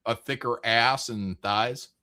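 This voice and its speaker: A man's voice: mid-range voice, steady tone, slow pace, bright, forceful tone. A man co-hosting a livestream, reacting casually and bluntly and often asking questions. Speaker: livestream co-host.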